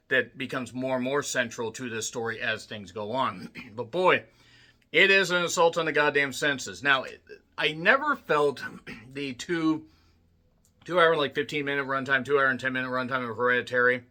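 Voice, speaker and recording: A man with a slightly rough, worn voice giving a casual spoken movie review, blunt and full of swearing, clearing his throat often. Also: mid-range voice, bright, forceful tone. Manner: blunt, profane ranting with frequent throat clearing. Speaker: film reviewer.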